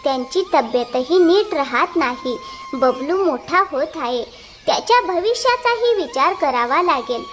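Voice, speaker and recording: A very high-voiced, scheming elder village sister played by a woman in a cartoon moral tale. She is insistent and persuasive, always pleading her case, with faint music underneath. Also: expressive, unhurried. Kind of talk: animated moral story dialogue.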